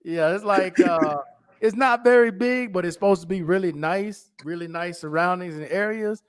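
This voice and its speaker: A man's voice: high voice, normal speed, clear, resonant tone. A man in a casual podcast chat, talking in a laid-back, slangy way and calling his friend bro and dude.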